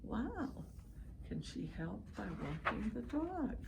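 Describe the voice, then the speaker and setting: A woman reads a picture book aloud to children with lively, swinging intonation and an unhurried pace. She lingers on phrases and encourages young listeners with little questions. There is clear background noise in the home recording. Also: fairly high pitch. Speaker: read-aloud host for children. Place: at home, online session.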